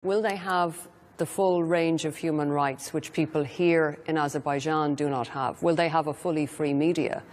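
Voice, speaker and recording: A woman's voice: deep voice, fairly even intonation, moderate pace, hardly any pauses, darkish tone, big-room sound. A woman conducting a challenging news interview, formal, probing and persistent in her questions.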